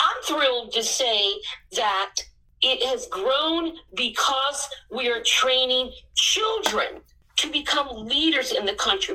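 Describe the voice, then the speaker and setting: A woman in her sixties, a missionary, telling her story in an earnest way that bursts into excitement at times. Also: sits high, recorded from a distance, poor audio. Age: 60s.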